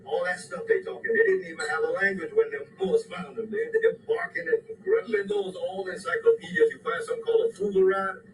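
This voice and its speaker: A man's voice: animated, brisk, creaky, few pauses, far from the mic, rough recording. A man from Puerto Rico and Saint Thomas whose first language is Spanish, lecturing emphatically in a casual chat while stocking shelves in a supermarket. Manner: emphatic, lecturing, swooping pitch. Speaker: store worker talking to a customer. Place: supermarket aisle.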